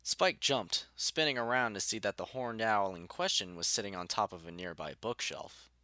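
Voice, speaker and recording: A man reading scripted story narration as an audiobook narrator, in a measured, articulate way. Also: lowish voice, pushed and bright, no echo.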